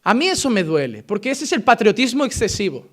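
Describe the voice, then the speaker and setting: High-voiced Spanish preacher man. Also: big pitch swings, fast talker. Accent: Spain Spanish. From Spain. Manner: impassioned preaching, swinging between loud emphasis and quiet earnest passages. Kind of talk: sermon.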